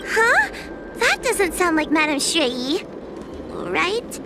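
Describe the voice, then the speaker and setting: A woman's voice: very high-pitched, wildly swooping pitch, takes it slowly, very noisy, heavily acted. A woman playing a timid, anxious young girl in a video game, speaking hesitantly. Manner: hesitant, nervous, often faltering.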